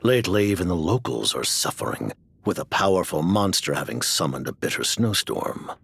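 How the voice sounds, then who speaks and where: A secretive, commanding man speaks in a very deep, gravelly voice. His pitch rolls widely, but his delivery stays composed and cryptic. Faint low noise hums behind him. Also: big pitch swings, moderate pace, fairly bright tone, heavily acted. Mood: calm. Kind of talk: video game voice acting.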